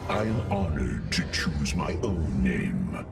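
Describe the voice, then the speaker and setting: A man's voice: extremely low pitch, very animated, takes it slowly, creaky, recorded from a distance, over loud music, full character voice. A man playing an honorable, loyal alien machine warrior in a video game, formal and earnest. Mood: earnest, honored. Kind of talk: dramatic video game voice acting.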